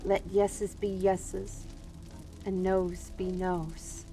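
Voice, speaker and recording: An earnest female steward, played by a woman, speaks slowly and solemnly at a middle pitch. She is principled and measured, a fantasy drama heroine over faint music. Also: level tone, mellow. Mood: firm reproach. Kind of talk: fantasy audio roleplay drama.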